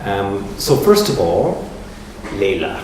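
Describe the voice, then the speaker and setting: A man hosting a gallery talk as curator, speaking in a measured, reflective way as he searches for words. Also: fairly low pitch, sing-song. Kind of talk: public talk introducing an artist. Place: large echoey gallery room. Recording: echoey gallery room from far off with ambient noise.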